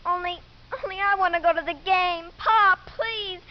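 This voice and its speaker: A spunky, outspoken little girl in an old radio play. Her voice is very high and cheeky, on a muffled recording with clear background noise. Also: fairly even intonation, normal speed. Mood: pleading, sulky. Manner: pleading, cheeky, tomboyish. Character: spunky, outspoken young girl, a coach's football-mad daughter. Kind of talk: 1950s radio drama acting. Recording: muffled, noisy 1950s radio broadcast.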